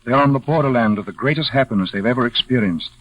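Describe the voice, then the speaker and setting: Grave, measured gentleman, played by a man, vintage radio. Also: medium pitch, natural voice. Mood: grave urging. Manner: measured, solemn. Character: calm, earnest gentleman friend, protective and grave. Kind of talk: 1940s radio drama acting. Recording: dull vintage radio broadcast.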